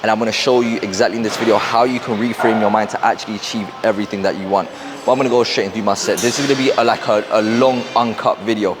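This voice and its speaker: A young man with a London accent, talking fast and rambling to camera in a casual motivational vlog, wearing a clip-on mic in a gym. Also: fairly low pitch, steady tone, noisy background. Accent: London English. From South London, England. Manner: fast, rambling, repeats himself. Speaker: fitness and mindset coach. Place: busy gym.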